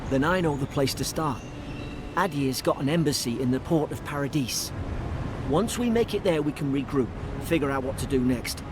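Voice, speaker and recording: A man's voice: on the high side, normal speed, noisy background, lightly acted. A man playing a loyal, wary imperial soldier companion in a video game, speaking in an earnest, cautious manner. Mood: determined. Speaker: game character. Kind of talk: video game dialogue acting. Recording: orchestral game music behind the voice. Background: loud music.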